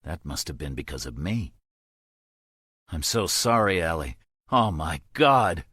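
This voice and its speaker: A man's voice: rumbling low, big pitch swings, measured pace, fairly bright tone, husky, no room sound, studio quality, heavily acted. A man voicing a tense, shaken man who speaks urgently and emotionally.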